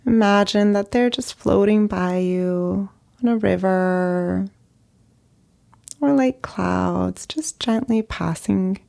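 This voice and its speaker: A woman leading a guided meditation as a therapist, speaking gently in short phrases with long pauses. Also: mid-range voice, creaky. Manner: slow, gentle, pausing between short phrases.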